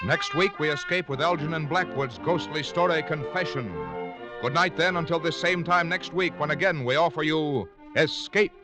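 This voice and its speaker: A man's voice: a little high, normal speed, over loud music. An American man announcing in scripted 1940s network radio style.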